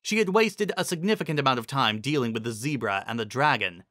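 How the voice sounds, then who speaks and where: A man's voice: mid-range voice, animated, fast, bright, forceful tone, no echo, clean studio sound. A man narrating fantasy fiction as a dramatic reading.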